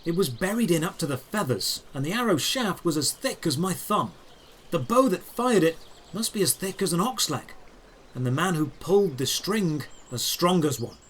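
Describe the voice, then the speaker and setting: A man narrating a scripted first-person fantasy adventure in a dramatic, deliberate, descriptive way. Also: high-pitched, fairly bright tone, slight background noise.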